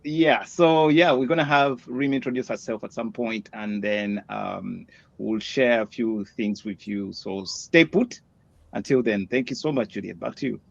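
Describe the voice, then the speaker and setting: A man co-hosting a casual livestream, relaxed and hesitant with many fillers. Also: on the low side, unhurried, creaky, no room sound. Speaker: co-host. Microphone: video call. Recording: dull, dry sound.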